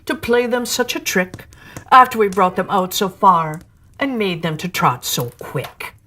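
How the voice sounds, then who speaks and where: A woman's voice: mid-range voice, lively intonation, a little slow, pushed and bright. A woman voicing a grandly persuasive, falsely tearful male walrus for a storybook poem, with a pompous, coaxing manner. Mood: mock sorrowful.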